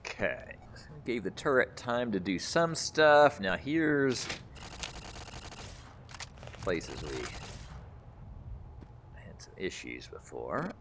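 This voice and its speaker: A man's voice: medium pitch, big pitch swings, slow, pauses often, a bit bright, slight background noise. A man giving casual, rambling commentary as he plays a video game, thinking aloud. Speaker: gaming video host.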